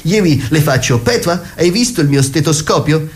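A man's voice: a little high, animated, normal speed, close to an everyday voice. A man playing a flustered, gloomy doctor in a radio theatre parody, exclamatory and plaintive. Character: flustered, gloomy male poet-doctor with marital woes.

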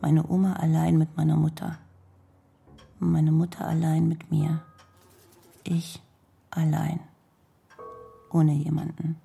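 Very breathy, husky, brooding actress. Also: female voice, low voice, monotone, dark tone, faint music behind, heavily acted. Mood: brooding, melancholy. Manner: fragmented, deliberate phrasing. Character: melancholy, world-weary actress, wry and brooding. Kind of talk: literary radio drama monologue and dialogue.

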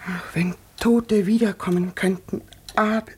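An actress playing an anxious, reproachful innkeeper's wife in a 1950s German radio drama, pleading and questioning. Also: female voice, medium pitch, fairly even intonation, unhurried, husky. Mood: anxious, hushed.

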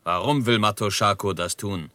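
An actor playing a stern, dignified Apache chief in a western radio drama, speaking tersely and deliberately. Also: male voice, on the low side, bright, forceful tone, no echo, studio quality. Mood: stern, sceptical.

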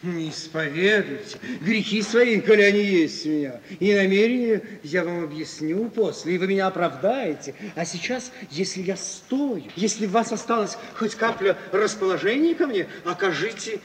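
An actor playing a vain, courteous fortune hunter, ingratiating and theatrically earnest, in a 1950s Russian radio comedy. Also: male voice, high-pitched, expressive, moderate pace, few pauses, a little hiss or hum. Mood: pleading. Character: smooth-talking vain fortune hunter, ingratiating scheming gentleman. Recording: echoey, distant 1950s radio recording.

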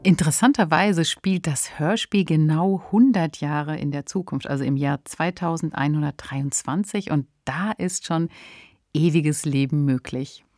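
A woman's voice: low-pitched, measured pace, a little mellow. A woman co-hosting a podcast, giving commentary in a conversational style. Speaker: podcast co-host.

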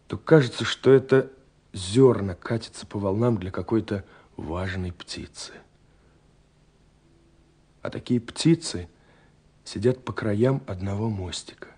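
An actor playing a lovestruck young man who narrates his letters in a lyrical, wistful, intimate way, with pauses, in a romantic radio drama. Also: fairly low pitch, warm tone, slightly rough. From Russia. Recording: 1970s radio recording with music.

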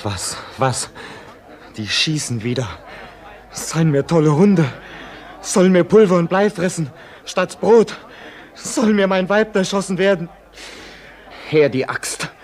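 High, explosive young rebel. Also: male voice, animated, slow pace. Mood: enraged. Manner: loud, explosive. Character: hot-headed weaver's son spoiling to fight. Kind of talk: German radio drama acting.

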